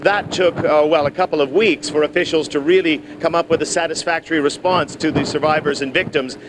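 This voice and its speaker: A man's voice: on the high side, steady tone, a little fast. A man giving a live, unscripted news report from outdoors, explaining things as he goes and correcting himself. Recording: outdoor location report with clear noise.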